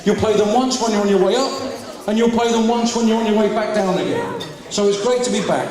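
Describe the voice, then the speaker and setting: A fast-talking comedian working a crowded function room, his fairly high voice coming from some way off the mic. The hall rings with heavy echo, and a lively audience murmurs and laughs behind him. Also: very noisy. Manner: rapid-fire joke telling, voices characters in jokes. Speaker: stand-up comedian hosting a charity fundraiser. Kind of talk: stand-up comedy routine. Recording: distant, very echoey hall with crowd.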